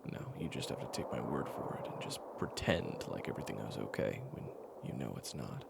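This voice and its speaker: Man acting, weary patient caretaker, extremely low pitch, heavy vocal fry, hushed and hesitant, intimate audio drama. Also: very animated, brisk, heavy background noise, exaggerated voice. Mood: patient, reassuring. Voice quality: very low gravelly voice. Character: weary, patient man caring for an amnesiac companion, retelling their past. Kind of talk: intimate second-person audio roleplay.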